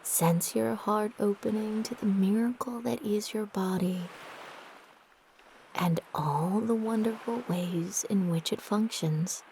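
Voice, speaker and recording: A woman narrating a guided meditation in a soft, breathy, gentle and soothing manner with long pauses. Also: medium pitch, slight background noise, low-quality recording.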